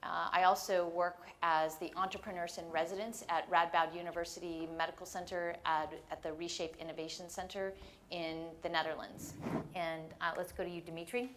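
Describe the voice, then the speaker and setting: A woman moderating a conference panel on stage, energetic and encouraging, with a few fillers. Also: on the low side, fairly quick, a little hiss or hum. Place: conference stage before an audience.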